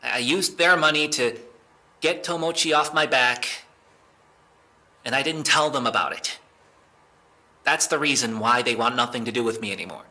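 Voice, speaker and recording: An actor playing a defensive young man in an audio drama, halting and sweary, insisting he is innocent. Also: mid-range voice, pushed and bright. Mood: uneasy, confessing. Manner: halting, sweary, emotional delivery.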